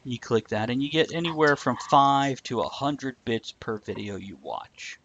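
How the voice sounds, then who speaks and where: A man's voice: mid-range voice, expressive, normal speed, a bit bright, dull audio. A man co-hosting a livestream, chatting casually in a joking, bantering way. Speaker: livestream co-host. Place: indoors, live stream.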